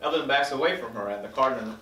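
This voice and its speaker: A man narrates scene headings and action from a screenplay at a table read. His voice is mid-pitched, caught from some distance in a roomy, echoing space. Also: fast talker, pushed and bright, slight background noise. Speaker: stage directions reader. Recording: roomy echo, distant microphone.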